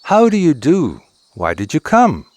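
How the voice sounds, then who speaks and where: A man voicing a female fox in a folktale, polite and measured yet menacing. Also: fairly high pitch, wildly swooping pitch, pitched above the performer's own voice. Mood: greeting. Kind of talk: storybook dialogue.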